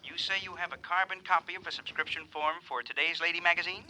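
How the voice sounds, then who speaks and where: An actor playing a fussy, inquisitive magazine company manager in a 1950s radio crime drama. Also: male voice, fairly high pitch, fairly even intonation, fast talker, sharp tone, poor audio. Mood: fussy, puzzled.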